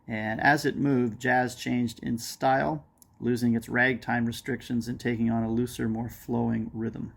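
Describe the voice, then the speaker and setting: A man hosting a history video, explaining things informally in a somewhat halting way. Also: medium pitch, gravelly. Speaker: host of a music history video series.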